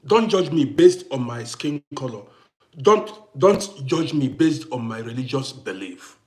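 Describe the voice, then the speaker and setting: A man hosting a casual livestream who greets viewers by name, repeats himself, and swings between loud bursts and quiet asides. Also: mid-range voice, animated, a little slow. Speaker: livestream host.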